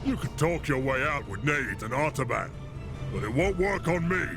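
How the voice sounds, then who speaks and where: A male actor plays a boastful video game warrior itching for a duel. His gravelly voice is theatrical and lively in pitch, taking its time as he taunts, over loud music. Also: normal speed, lightly acted. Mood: mocking, defiant. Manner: swaggering, theatrical. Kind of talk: video game cutscene acting.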